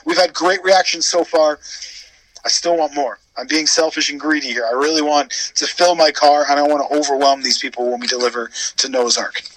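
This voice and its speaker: A man with a slightly high voice talks as a radio guest. He is chatty and casual, telling stories with plenty of asides, and the sound is dry and close. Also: steady tone, fairly quick, fairly bright tone, no echo, low-quality recording. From born in Wales, grew up in Canada. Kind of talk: casual chat and storytelling.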